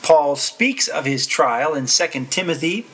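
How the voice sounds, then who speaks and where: A man giving a Bible study lecture, talking deliberately with many "uh" fillers, repeating verse references and now and then clearing his throat. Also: fairly high pitch, expressive, a bit bright. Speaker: preacher.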